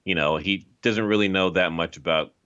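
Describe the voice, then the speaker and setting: A man chatting casually as an interview guest, in halting, rambling phrases, on a muffled lo-fi recording. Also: deep voice, fairly bright tone, clear, resonant tone. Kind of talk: casual chat. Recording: dull, lo-fi voice track.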